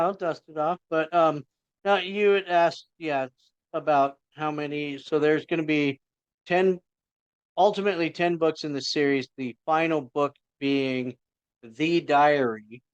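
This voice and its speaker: Middle-aged male guest, casual rambling talker, smooth tone, dry close sound. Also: fairly high pitch, a little slow, no echo, muffled recording. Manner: rambling, self-interrupting storyteller. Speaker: indie author guest. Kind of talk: casual podcast chat.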